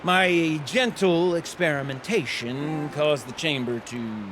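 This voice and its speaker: An actor playing a chatty, eccentric older treasure hunter in a video game, with verbose, flowery, theatrical phrasing. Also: male voice, fairly high pitch, slow, background noise. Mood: rambling, sheepish. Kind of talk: video game character acting.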